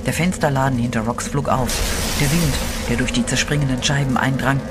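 A man's voice: mid-range voice, brisk, very noisy. Man narrating a horror radio play. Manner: steady, dramatic storytelling. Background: eerie music.

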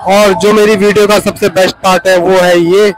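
A man's voice: sits high, little pitch movement, moderate pace. A man giving a casual, informal vlog walk-through as a chef, introducing and pointing things out. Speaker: chef vlogging his hotel restaurant. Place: large indoor hotel banquet restaurant. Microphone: handheld phone behind the camera. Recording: music behind, handheld phone in busy venue. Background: loud music.

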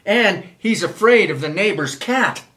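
An older man reads a children's storybook aloud in an expressive, measured way. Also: high voice. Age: older, 70s. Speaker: children's author reading own book. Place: small wood-panelled room, indoors.

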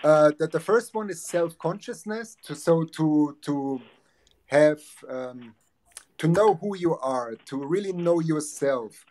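A man's voice: on the high side, lively intonation, takes it slowly, darkish tone. A man in his forties from Germany with a German accent explains ideas earnestly, with hesitant fillers. He speaks on a video call from a home office. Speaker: guest, relationship coach.

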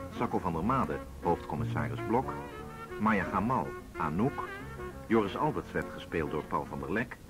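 A man's voice: low voice, low-quality recording. A man reading scripted radio drama credits as an announcer. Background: music.

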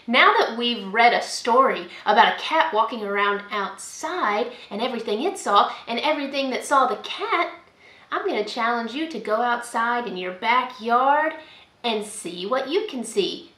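Young woman, children's read-aloud, expressive sing-song, bright tone, roomy indoor recording. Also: fairly high pitch. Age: young adult. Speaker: school librarian reading aloud to children. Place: indoor room at home, by a window.

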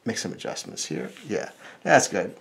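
A middle-aged American man teaches drawing in a casual, informal way. His pitch moves around in a lively fashion, and there is a lot of gravelly fry in his voice. He thinks aloud as he goes, step by step. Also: moderate pace, a little hiss or hum. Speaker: cartoonist, drawing instructor.

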